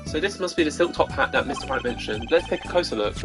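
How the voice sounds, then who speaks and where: An earnest young male lawyer from a video game, acted by a woman, pitched low. He sounds dry, exasperated and a little flustered, with music playing clearly behind him. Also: lively intonation, fast talker. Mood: wary. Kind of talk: video game character read-aloud.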